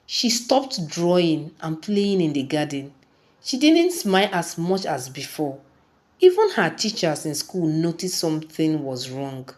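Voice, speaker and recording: A woman narrates a scripted folktale in a slightly low voice. Her delivery is dramatic and very unhurried, with pitch that rises and falls widely from phrase to phrase. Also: big pitch swings, a little mellow. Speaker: storyteller.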